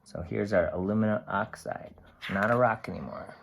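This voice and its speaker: A storyteller reading a children's picture book aloud, giving gentle explanatory asides and inviting young listeners along. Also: deep voice, moderate pace, vocal fry, noisy background.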